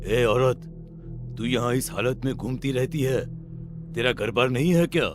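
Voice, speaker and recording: A man's voice: medium pitch, moderate pace, music in the background, lightly acted. A man playing a stern, authoritative village headman in a cartoon story, speaking in a commanding way. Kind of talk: Hindi cartoon story acting.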